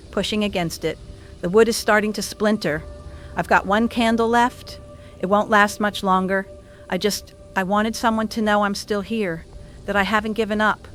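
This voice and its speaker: An actor playing a frightened but resolute elderly widow in her eighties, speaking wistfully and haltingly in an audio drama phone-in. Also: on the low side, lightly acted. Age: 80s.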